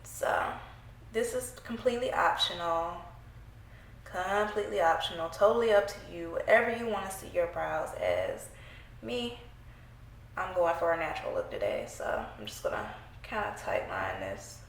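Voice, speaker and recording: A young woman chats through a makeup tutorial in a small room at home, her voice stuffy from a cold. She keeps a playful, chatty tone with her viewers, and her voice has a gravelly fry. The room sounds echoey, with a faint hiss underneath. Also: on the low side, very animated, normal speed. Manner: chatty, playful, talks to viewers while working. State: congested from a cold. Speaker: beauty vlogger. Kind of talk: casual makeup tutorial chat.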